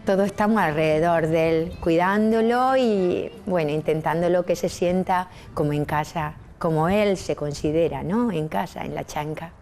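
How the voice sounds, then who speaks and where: A woman's voice: on the low side, normal speed, hardly any pauses, music in the background. A woman, a neighbourhood resident talking in a documentary interview.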